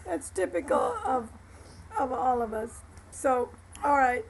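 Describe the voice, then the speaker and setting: A woman's voice: high voice, animated, slow, background noise. A woman in her seventies from Florida, telling her life story in a chatty, personal way. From Florida, USA. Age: 70s. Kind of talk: personal testimony to camera.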